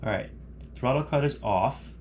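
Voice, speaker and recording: A man's voice: mid-range voice, normal speed, darkish tone, a little hiss or hum. A man with a cold and a nasal, stuffed-up voice, explaining a setup step by step like a casual tutorial. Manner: step-by-step explaining, often checks in with "okay?". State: congested with a cold. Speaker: hobbyist demonstrating a radio setup. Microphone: camera on a handheld tripod.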